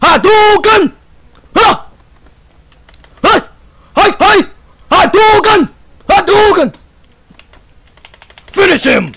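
A man with a very high, sharp voice shouts his way through a role-play game. His trash talk is slangy and repetitive. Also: lively intonation, very slow, gravelly, pauses often. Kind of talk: excited gaming trash talk.

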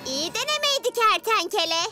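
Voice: extremely high pitch, sing-song, fairly quick, loud music behind the voice. An actor playing a bossy, taunting child villain in a dubbed children's cartoon, haughty and mocking. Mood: gloating.

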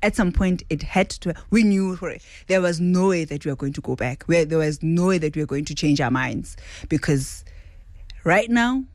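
Animated woman in a dry studio. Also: on the low side, moderate pace, dry recording. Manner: animated, mixes Setswana into English, acts out others' words. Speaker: restaurant owner, guest. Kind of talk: casual radio interview. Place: radio studio. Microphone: studio boom mic.

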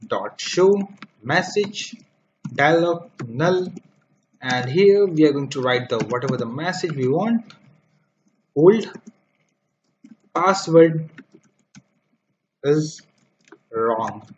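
A man's voice: fairly high pitch, expressive, takes it slowly, pauses often. A man teaching programming over a screen recording, dictating code step by step with frequent fillers. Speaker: programming tutor. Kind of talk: screen-recorded coding tutorial. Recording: dull lo-fi mic with faint noise.

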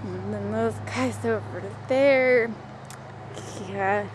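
A woman's voice: a little high, takes it slowly, slight background noise, poor audio. A woman filming from behind the camera and teasing the others in casual banter. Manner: teasing, wry commentary. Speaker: camera operator and co-host. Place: backyard and home garage.

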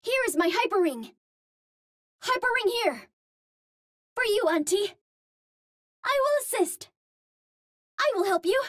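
A woman's voice: extremely high pitch, very animated, measured pace, pauses often, fairly bright tone, dry recording, clean studio sound, exaggerated voice. A woman voicing a cute, earnest young Martian heroine in a video game, with precise, formal phrasing. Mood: helpful, caring. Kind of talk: video game character voice lines.

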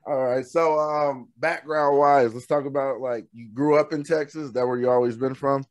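A man's voice: medium pitch, fairly even intonation, normal speed, dry recording. A man from Oklahoma hosting a podcast interview, talking in a laid-back, slangy, upbeat way into a studio microphone. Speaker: podcast host. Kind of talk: casual interview chat. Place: home studio room with shelves. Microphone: studio microphone on a boom arm.

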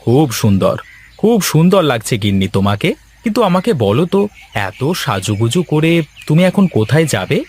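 A man's voice: on the high side, sing-song, moderate pace, darkish tone, breathy edge. A man voicing an exasperated fisherman husband in a cartoon, grumbling and complaining. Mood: placating. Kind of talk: cartoon dialogue acting.

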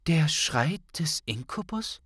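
A male narrator puts on an earnest teenage witch girl for a fantasy audiobook. The voice is raised and lively, full of careful, anxious questioning. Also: a little high, takes it slowly, husky, no room sound, clean studio sound, pitched above the performer's own voice, heavily acted.

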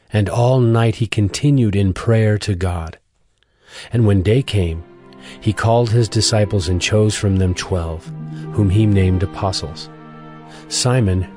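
A man narrating scripture for a dramatized audio Bible in a measured, formal storytelling manner. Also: lowish voice. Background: music.

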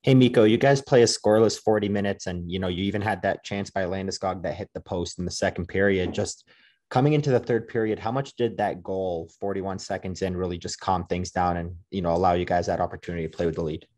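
A man's voice: deep voice, fast, a little mellow. A man, a sports reporter asking a wordy question at a press conference. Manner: wordy, fillers like you know.

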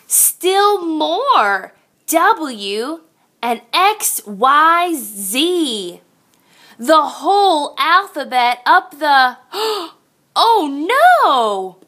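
A woman reading a picture book aloud to young children like a teacher, expressive and sing-song. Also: sits high, extremely slow.

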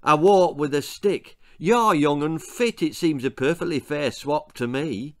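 A man's voice: on the high side, measured pace, fairly bright tone, pitched above the performer's own voice. A man voicing a cryptic, crafty old running coach in a children's storybook, evasive and commanding. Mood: bossy, smug.

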